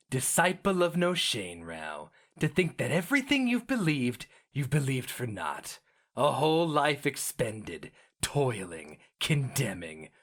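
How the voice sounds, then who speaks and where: A man's voice: mid-range voice, a bit bright, voice pushed up, full character voice. A man voicing a scornful, fervent, half-mad male sorcerer in a dramatic fantasy story reading, theatrical and hectoring. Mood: quiet scorn.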